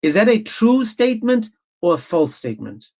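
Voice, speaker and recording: A man's voice: sits high, big pitch swings, moderate pace, creaky, a little mellow. A man hosting a live online debate, pressing his point insistently and repeating himself as he talks over his guest.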